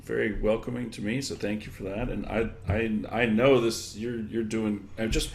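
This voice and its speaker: An echoey room and some background noise surround this man's rather low voice. He talks conversationally as an interview guest. His answers come haltingly, built up from one example after another as he thinks. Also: steady tone, creaky, a little mellow. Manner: halting, thinking aloud in loose chains of examples. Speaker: interviewed guest, education technology expert.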